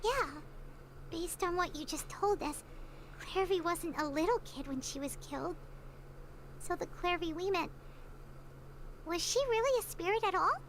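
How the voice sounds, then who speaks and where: Super high squeaky lil fairy sidekick from a game, earnest, curious, bit of ambience. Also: female voice, animated, moderate pace, long pauses, fairly bright tone, a little hiss or hum, heavily acted, low-quality recording. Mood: puzzled. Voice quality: squeaky, childlike. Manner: earnest, inquisitive, speaks in third person. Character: tiny childlike floating fairy companion, earnest and excitable. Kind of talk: scripted video game voice acting.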